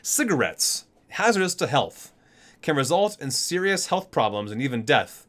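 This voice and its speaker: A man's voice: medium pitch, sing-song, normal speed, fairly bright tone. A man hosting an ASMR video, reading guidebook entries aloud and sometimes stumbling and correcting himself.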